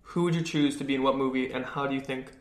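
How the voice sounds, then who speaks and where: A young man, a podcast co-host who is feeling sick and tired, telling animated stories in casual chat. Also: medium pitch. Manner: fast, animated storyteller, acting out quotes. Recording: roomy echo.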